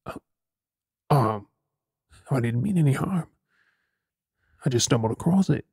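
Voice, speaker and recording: A man's voice: medium pitch, animated, a little slow, a little mellow, husky, no echo, clean studio sound, heavily acted. Man acting an earnest, troubled Australian werewolf. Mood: uneasy. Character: earnest, troubled young male werewolf boyfriend. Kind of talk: ASMR audio drama.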